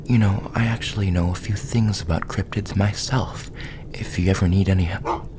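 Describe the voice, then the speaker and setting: Low-pitched male delivery guy, acted by a man, polite, nosy, faintly ominous, casual ASMR roleplay, noisy recording. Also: fairly even intonation, normal speed, close to an everyday voice. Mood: curious, ominous. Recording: clearly noisy background.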